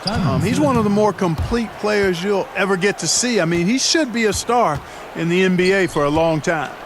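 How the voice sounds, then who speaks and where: A man's voice: high-pitched, lively intonation, moderate pace, background noise. An American man playing an opinionated, conversational color commentator on a video game basketball broadcast. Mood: admiring. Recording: game audio over loud music and crowd.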